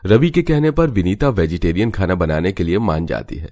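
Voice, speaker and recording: Man, low voice, scripted storytelling narrator. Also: animated, a little fast, mellow, dry recording.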